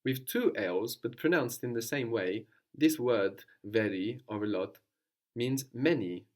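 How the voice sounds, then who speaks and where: A man's voice: mid-range voice, measured pace. A man teaching a language lesson, patiently prompting his student with questions and repeating the answers back.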